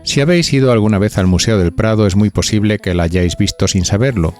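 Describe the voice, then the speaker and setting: A man's voice: fairly low pitch, quick pace, darkish tone, faint music behind. A man with an accent from Spain hosting a podcast, narrating in a chatty, scripted gossip style with a playful, confiding manner that speaks straight to listeners. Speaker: podcast host.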